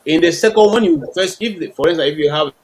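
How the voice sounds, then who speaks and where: A man with a Nigerian accent, a guest on a live panel, argues a constitutional point and keeps restarting and repeating words as he builds it. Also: a little high. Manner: halting, repeats words while building an argument. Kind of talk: heated live panel discussion.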